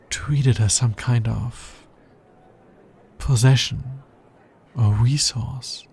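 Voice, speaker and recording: A man playing a tender, earnest alien scientist in an intimate ASMR romance roleplay, soft-spoken and measured. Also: medium pitch, slight background noise. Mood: wistful, worried. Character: smitten male alien scientist, earnest, tender, fascinated by humans.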